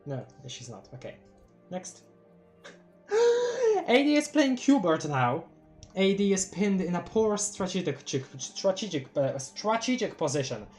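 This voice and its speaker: A streamer from Poland with a light Polish accent, reading a webcomic aloud on a live stream in a relaxed, chatty way. The delivery is giggly and excitable, with frequent stumbles over long words. Faint music plays underneath. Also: medium pitch, measured pace.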